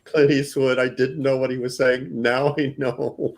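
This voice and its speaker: A man's voice: mid-range voice, flat delivery, unhurried. A man hosting a live-stream chat show, talking in a hesitant, self-deprecating way with frequent chuckles. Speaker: podcast host.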